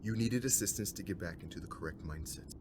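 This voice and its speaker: A man's voice: sits low, fast talker, darkish tone, natural voice. A man playing a cold, stern middle-aged father and agency commander in an audio drama, formal and severe. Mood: cold, commanding. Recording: clear ambient noise behind voice.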